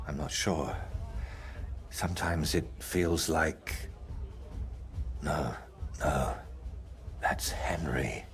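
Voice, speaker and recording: An actor playing a troubled, anxious painter in a video game, speaking haltingly and drifting into mutters. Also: male voice, a bass voice, faint music behind, heavily acted. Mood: dazed, muttering. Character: troubled, haunted male painter, anxious and distracted. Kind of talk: naturalistic video game acting.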